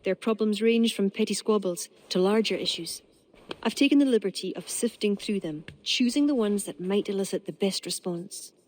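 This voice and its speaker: A woman's voice: mid-range voice, moderate pace, a little mellow, lightly acted. A woman playing a teasing Irish fixer. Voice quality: teasing, wry, confident. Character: witty young Irish woman, sly royal fixer. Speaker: Irish royal's aide. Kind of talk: video game cutscene acting.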